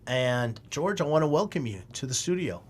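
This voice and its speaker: A man hosting a podcast in a studio, asking relaxed questions with a jokey edge. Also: mid-range voice, normal speed, a little hiss or hum. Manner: theatrical intro, then relaxed questions. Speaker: podcast host. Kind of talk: casual podcast interview. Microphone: studio podcast mic.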